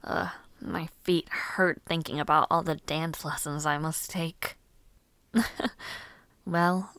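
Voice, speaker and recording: Young lady mage for audio roleplay, female actor: slightly low pitch, unhurried delivery, genteel, cheerful, headstrong. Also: creaky, dry recording, full character voice. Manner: genteel, old-fashioned, chatty phrasing with soft laughs and sighs. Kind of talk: scripted audio roleplay drama.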